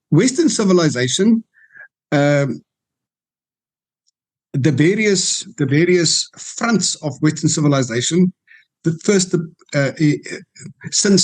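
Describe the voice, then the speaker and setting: An older man, a law professor, explaining history in a measured, lecturing way with frequent restarts and fillers. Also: on the high side, rough recording. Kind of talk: interview discussion.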